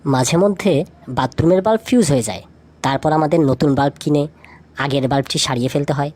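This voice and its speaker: A woman with a slightly low voice presents a light trivia feature from a script. She addresses the listener directly, posing questions as she narrates. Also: a little fast, darkish tone. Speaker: lead narrator of a feature video.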